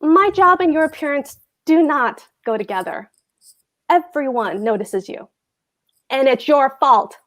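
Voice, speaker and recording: A woman's voice: high voice, animated, unhurried, fairly bright tone. A woman reading the part of a pompous, anxious husband, a fussy government clerk, at a table read of a stage comedy. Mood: lecturing, fretful. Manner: pompous, hectoring, fretful.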